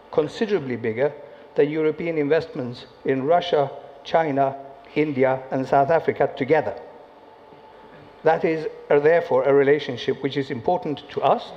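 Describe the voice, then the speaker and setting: Deliberate man in echoing hall. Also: fairly high pitch. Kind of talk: panel discussion answer. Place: conference hall. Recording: muffled audio in a reverberant hall, faint noise.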